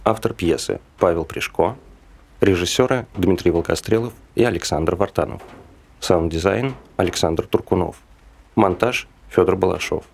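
A man with a gravelly, husky voice reading scripted credits as an announcer. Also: low-pitched, normal speed, a little hiss or hum.

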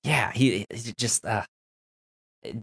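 A man co-hosting a casual TV recap discussion, halting and stammering over repeated sounds and words. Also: mid-range voice, creaky, a bit bright, husky. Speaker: podcast co-host.

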